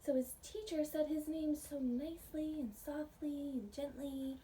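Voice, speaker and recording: Slow, high-voiced American woman reading to children. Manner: gentle, child-directed, asks the listeners questions. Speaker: pre-K teacher. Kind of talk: children's picture book read-aloud with simple explanations. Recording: distant mic, faint background noise.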